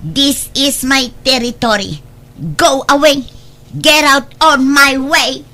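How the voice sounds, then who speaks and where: An erratic, shrill, unhinged homeless young mother. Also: slow pace, pushed and bright, exaggerated voice. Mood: hostile, unhinged. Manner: erratic, defiant, switching between snapping and giggling. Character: mentally disturbed homeless young mother, territorial, childishly excitable. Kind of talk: radio drama acting.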